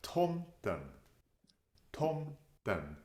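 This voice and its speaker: A man's voice: a little high, big pitch swings, extremely slow, a little mellow. A man speaking as a language teacher in a question-and-answer session, thoughtful and hesitant in his phrasing. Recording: roomy, echoey sound.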